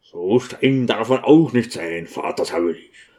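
A man's voice: lowish voice, expressive, takes it slowly, darkish tone. A man voicing an eager, boastful deacon in an audiobook, using affected, oddly stressed expressions. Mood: stubborn, resigned. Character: eager, boastful deacon showing off newly learned city slang.

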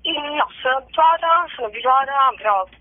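A woman's voice: sits high, lively intonation, brisk, a little hiss or hum. Hesitant woman on a phone line. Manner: hesitant, rambling. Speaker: stage actress being interviewed. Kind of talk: casual phone interview. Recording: dry tinny phone line.

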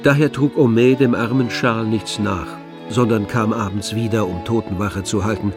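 A man's voice: on the low side, steady tone, normal speed, music in the background. Grave male radio drama narrator.

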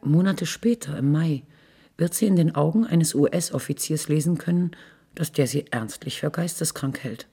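A woman giving a measured, sober reading of literary prose in a husky, breathy voice, as a radio narrator. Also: low voice, dark tone, soft background music.

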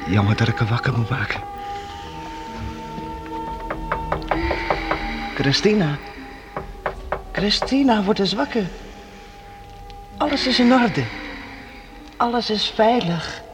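A man's voice: sits high, very animated, measured pace, long pauses, over loud music. An actor playing an eager, kind-hearted boy in a radio play, earnest and a little hesitant. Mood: anxious, tender. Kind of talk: radio drama acting.

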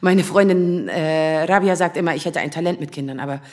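An actress playing a nervous, self-doubting lawyer in her thirties in a naturalistic radio drama, speaking in a halting, rambling way. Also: deep voice, a little mellow, lightly acted. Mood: nervous, eager. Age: 30s. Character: nervous, self-doubting 35-year-old asylum lawyer, single woman.

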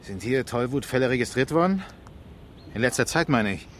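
Casting: male actor as inquisitive, reserved man with average-pitched voice, conversational and hesitant, East German radio crime drama. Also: expressive, a little fast, slight background noise, close to an everyday voice. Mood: uneasy, probing. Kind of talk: naturalistic 1970s radio crime drama acting.

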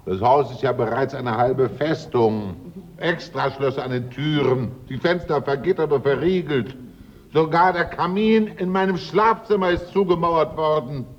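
A man's voice: fairly high pitch, normal speed, lightly acted. An older man acting in a German radio drama as a stubborn, jovial, hard-drinking rich old uncle with a blustery, teasing manner. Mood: blustery, genial. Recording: dull, noisy radio recording.

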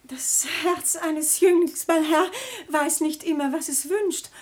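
A small-town lady relative in a radio comedy. Her voice is high-pitched. She speaks in an affected, sentimental, high-flown way, as if every line were a maxim. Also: steady tone, slow, a little hiss or hum. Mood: affected, insinuating. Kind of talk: stage comedy radio acting.

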